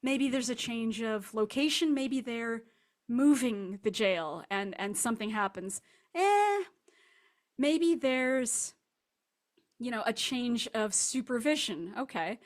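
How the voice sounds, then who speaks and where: A woman speaking as an author guest in a casual, reflective interview, animated and thinking aloud as she explains her writing. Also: a little high, slow. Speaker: author guest discussing her short story.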